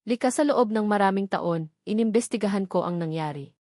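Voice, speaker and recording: A woman's voice: mid-range voice, a little fast, a little mellow, dry recording, lightly acted. Woman voicing an earnest, desperate man, dry sound. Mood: urgent, desperate. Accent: Filipino. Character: earnest, desperate man revealing painful family secrets.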